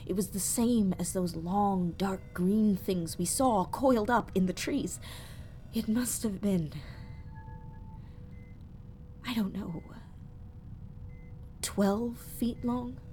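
Actress as a haunted woman space explorer, slow halting horror audio drama monologue, bit of rumbly noise. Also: warm tone, natural voice. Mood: haunted, uneasy. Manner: halting, breathy dramatic monologue, slipping into whispers.

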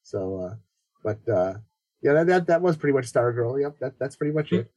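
A man's voice: medium pitch, sing-song, a little mellow. A man co-hosting a podcast in casual chat, stuffed up and nasal from a cold, chatty with plenty of fillers. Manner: quick, chatty, with many fillers. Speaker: podcast co-host.

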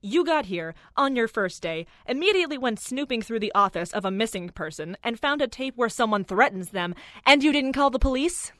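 An actor playing a blunt, exasperated coworker with deadpan replies. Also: male voice, extremely high pitch, a little fast, few pauses, no echo, exaggerated voice. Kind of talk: audio drama dialogue.

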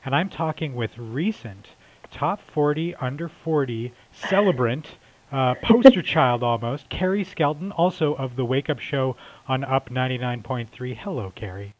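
A man's voice: medium pitch, normal speed, a little hiss or hum. A man hosting a casual interview over the telephone, chatty and a little hesitant. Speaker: interviewer, host. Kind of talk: casual radio-style phone interview. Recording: dull lo-fi telephone sound.

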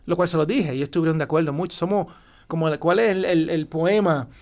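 A man's voice: on the high side, fast talker, darkish tone. A man from Puerto Rico telling a group about a trip. He talks enthusiastically, repeats himself and searches for words. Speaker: guest sharing travel experience. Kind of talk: casual group conversation.

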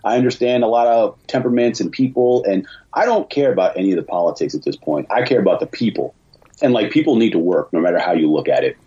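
A man's voice: fairly low pitch, animated, fast talker, warm tone, breathy edge. A man speaking as a podcast guest over an internet call, giving his opinions bluntly and colloquially with emphatic repetition. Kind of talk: casual opinionated discussion. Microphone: remote internet call. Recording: dull lo-fi internet call audio.

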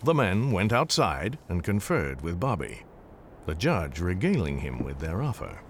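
An actor narrating a dramatized Western Christmas tale in a measured, wry, literary manner. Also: male voice, sits low, slight background noise, full character voice. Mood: wry storytelling. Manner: measured, expressive, literary phrasing. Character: male storyteller of an old Western Christmas tale, wry and folksy.

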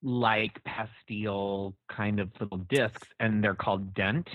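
A man co-hosting a podcast in casual chat, rambling and halting with many fillers. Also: lowish voice, steady tone, no room sound, lo-fi sound. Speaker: podcast co-host.

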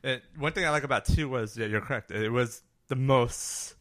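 A man's voice: medium pitch, normal speed, fairly bright tone, no echo. A man co-hosting a podcast in casual chat, agreeable and given to repeating short phrases. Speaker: podcast co-host.

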